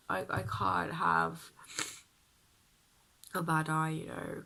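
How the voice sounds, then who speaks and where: Husky, croaky woman with a cold. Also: fairly bright tone. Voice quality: deep husky voice. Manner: chatty and self-deprecating, sighing. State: ill, croaky. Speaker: beauty vlogger. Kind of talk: casual chat to camera.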